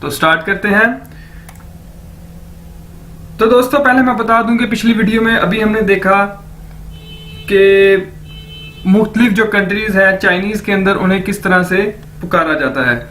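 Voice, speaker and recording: A man from Pakistan, teaching a slow language lesson and repeating each word as a drill. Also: high voice, fairly bright tone, a little hiss or hum.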